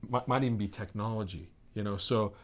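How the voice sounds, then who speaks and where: A man's voice: on the low side, brisk. An American man in his forties giving informal, emphatic answers as an interview guest. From United States. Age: 40s. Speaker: interview guest, network marketing executive.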